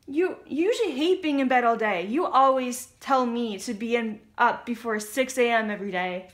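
A woman's voice: fairly high pitch, normal speed, reverberant, close to an everyday voice. A woman voicing a flippant, dramatic teenage girl in a puppet show, with an exaggerated, whiny delivery. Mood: chirpy.

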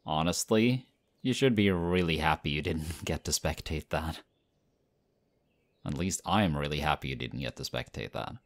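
A man voicing a friendly, modest young male team captain in an audio roleplay, talking in a relaxed, chatty way. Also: very deep voice, normal speed. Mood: excited, friendly.

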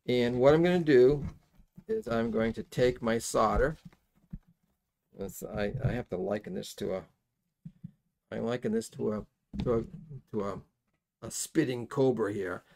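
A middle-aged man giving a casual hands-on soldering tutorial, folksy and halting as he thinks aloud. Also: mid-range voice, lively intonation, creaky, a little mellow, slight background noise. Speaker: electronics soldering instructor. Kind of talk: hands-on tutorial, explaining casually while working. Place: indoors at a workbench.

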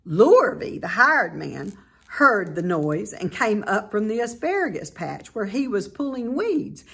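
A middle-aged woman with a slightly husky voice reads a children's story aloud expressively, like a teacher. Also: medium pitch, sing-song, measured pace, a bit bright. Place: indoor room at home by a staircase.

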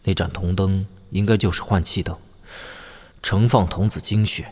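A man's voice: low voice, fairly even intonation, normal speed, a little mellow, slightly rough. An actor playing a shrewd, wry young nobleman swordsman in a wuxia audio drama, musing with playful interjections. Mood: pondering.

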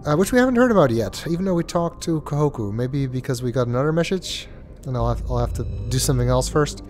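A man's voice: medium pitch, expressive, fast talker, darkish tone, soft background music. A congested man with a stuffy nose giving casual, hesitant commentary as he plays through a video game. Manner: hesitant, with many ums and uhs. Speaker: let's play host.

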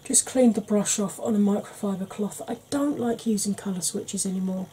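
A woman in her forties with a Welsh-tinged northern English accent, talking slowly and softly with wry humour while doing her makeup. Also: medium pitch, a little hiss or hum. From Wales and Yorkshire. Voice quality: soft-spoken, slow, wry. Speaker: makeup vlogger. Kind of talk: soft-spoken makeup tutorial chat.

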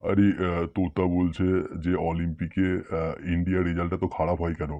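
A man voicing an excitable, comical cartoon schoolteacher with halting, stammering speech. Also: sits low, no room sound, lo-fi sound, natural voice. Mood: amused, explaining. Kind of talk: cartoon comedy dialogue.

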